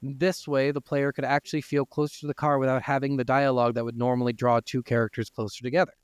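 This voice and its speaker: A man's voice: mid-range voice, flat delivery, quick pace, clean tone, no echo, clean studio sound. A man hosting a casual gaming podcast, moving between informal, sweary chat and reading from notes. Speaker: podcast host.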